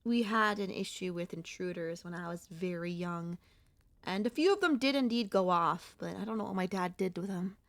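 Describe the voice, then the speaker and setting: A woman voicing a gentle, wistful and anxious young centipede monster girl in an ASMR audio roleplay, speaking softly and hesitantly in a conversational way. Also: on the low side, moderate pace, no room sound, natural voice. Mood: calm, reminiscing.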